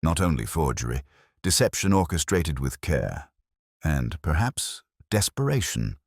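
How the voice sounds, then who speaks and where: Guy doing a shrewd victorian detective for an audiobook, very deep, precise and formal, lively pitch. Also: no echo, studio quality, exaggerated voice.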